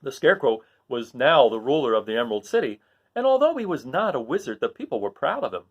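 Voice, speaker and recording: A man reading a children's storybook aloud as narrator, in an expressive storytelling style. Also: mid-range voice, fast talker, husky, highs cut off. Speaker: storybook reader.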